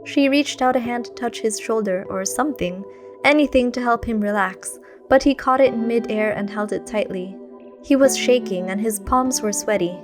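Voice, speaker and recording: A woman reading a scripted story aloud in an expressive, literary way. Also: fairly high pitch, normal speed, over music. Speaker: fan fiction reader.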